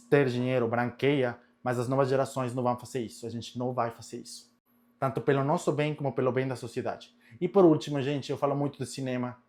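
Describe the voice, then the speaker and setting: A man's voice: mid-range voice, darkish tone. A man from Ecuador, a native Spanish speaker with a Latin American accent, giving an opinion monologue to his followers and repeating key words for emphasis. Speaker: social media content creator.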